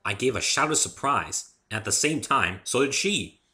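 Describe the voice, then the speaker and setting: A man's voice: mid-range voice, expressive, moderate pace, pushed and bright. A man giving an amateur dramatic reading of a first-person story as its narrator.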